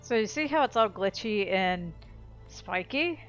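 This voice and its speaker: A woman hosts a game playthrough in a casual, chatty way. Her voice sits at a middle pitch. Faint game music runs underneath her commentary. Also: expressive, unhurried, a bit bright, dull audio. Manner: informal, chatty. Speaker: gaming video host. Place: voice-over on game audio.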